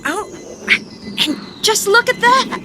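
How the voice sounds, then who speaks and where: A patient survivor working the land in a radio drama. She speaks very slowly and plainly, with a steadying manner, over faint ambience. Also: very high voice, expressive, background noise, heavily acted. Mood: awed, uneasy. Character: patient, resolute woman farming to survive.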